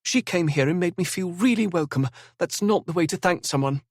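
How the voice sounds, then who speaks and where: A man's voice: high voice, lively intonation, a little fast, dry recording, studio quality, voice pushed up. A man voicing Zara, a composed young woman who speaks her mind steadily. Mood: firm. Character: young woman Zara, calm, firm, level-headed.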